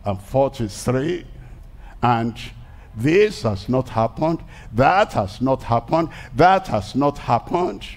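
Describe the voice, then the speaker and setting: Older Nigerian pastor preaching with lively pitch. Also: male voice, slow. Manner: emphatic preaching, rising to shouted declarations. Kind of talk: crusade sermon. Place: large outdoor crusade stage before a crowd. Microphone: headset mic.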